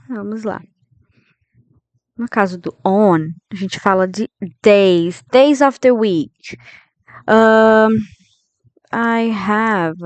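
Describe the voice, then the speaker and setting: A Brazilian woman in her thirties runs a grammar lesson, unhurried and patient in her delivery. Her voice comes through smooth and clean, recorded close and dry with no room sound. She keeps asking the class if they're with her. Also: lowish voice, a little mellow, dry recording. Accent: Brazilian Portuguese. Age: 30s. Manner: didactic, checks in with listeners often; slows down to model English phrases. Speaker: English teacher.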